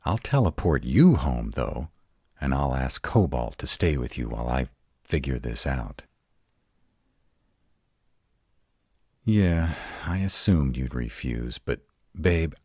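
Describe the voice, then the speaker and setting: Man acting as a grumpy were-dragon, extremely low voice, gruff, exasperated, protective, roleplay audio, close dry sound. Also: big pitch swings, a little mellow, no echo, exaggerated voice. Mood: defiant, protective. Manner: gruff, exasperated, halting one-sided dialogue. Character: grumpy, protective male were-dragon, rattled but defiant.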